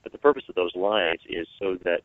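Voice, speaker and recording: A hoarse man with a very low voice speaks earnestly and emphatically, restarting his sentences as he pushes his point. He comes through a narrow, phone-like line with no room sound. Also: brisk, dry recording. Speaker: radio show guest, evangelist. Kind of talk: call-in radio interview.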